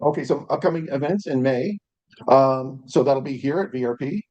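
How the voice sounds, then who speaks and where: A man hosts a tech meetup in a meeting room, speaking into a handheld mic. His delivery is relaxed and chatty, dotted with ums as he runs through announcements. His voice sits at an average pitch, and the sound is dry, with little room echo. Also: normal speed, highs cut off. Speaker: meetup host. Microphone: handheld microphone.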